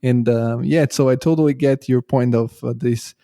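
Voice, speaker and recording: A young man speaks in an even, mellow voice with little rise and fall. He chats easily as the host, and the sound is dry and close. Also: mid-range voice, moderate pace, no echo. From Bulgaria, raised in Italy. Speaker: podcast host. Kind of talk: podcast interview.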